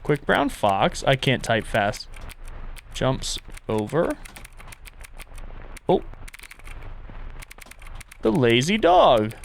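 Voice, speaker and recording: Male streamer for casual playthrough commentary: lively, swooping pitch, noisy background. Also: drawn-out words, creaky, long pauses. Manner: reads prompts aloud and reacts, thinking out loud. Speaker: gaming content creator and streamer.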